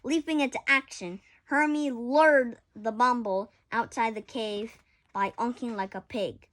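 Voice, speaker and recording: A woman's voice: fairly high pitch, unhurried, fairly bright tone. A woman reading a children's picture book aloud expressively, with occasional stumbles and self-corrections. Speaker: story reader.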